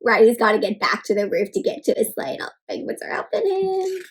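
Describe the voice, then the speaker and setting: A woman doing an interactive storybook read-aloud for children, animated, asking the listeners questions and adding playful sound effects and exclamations. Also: fairly high pitch, quick pace, breathy edge.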